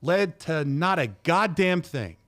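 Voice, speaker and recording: A man's voice: on the high side, animated, slow, fairly bright tone, no room sound. A man speaks as a podcast host in casual, opinionated style. His delivery is blunt and emphatic, peppered with profanity, and the sound is close and dry. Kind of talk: casual chat, opinionated ranting about shows.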